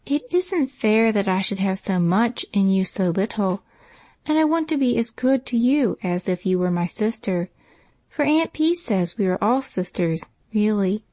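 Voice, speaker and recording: Figure: eager young girl, voiced by a woman. Pitch: raised. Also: animated, a little mellow, dry recording, natural voice. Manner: talks very fast and eagerly.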